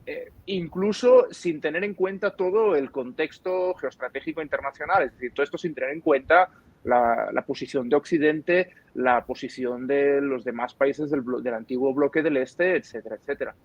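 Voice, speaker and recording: A man's voice: mid-range voice, normal speed, dry recording, muffled recording. A man with an accent from Spain gives explanatory commentary as an interviewed analyst, choosing his words carefully and qualifying his points. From Barcelona, Spain.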